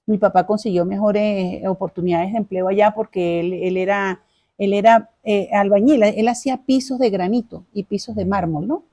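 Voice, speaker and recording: A woman's voice: medium pitch, steady tone, moderate pace, mellow. A middle-aged woman with a Caracas Venezuelan accent, telling her life story informally as an interview guest, chatty and courteous, often repeating her phrases.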